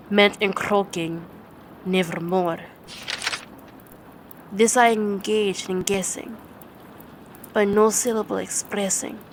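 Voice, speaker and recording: Slow, halting woman reading gothic poetry. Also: mid-range voice, a little hiss or hum. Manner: halting, measured, theatrical, with stumbles and repeated words. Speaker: ASMR narrator. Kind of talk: slow dramatic poetry reading for sleep ASMR.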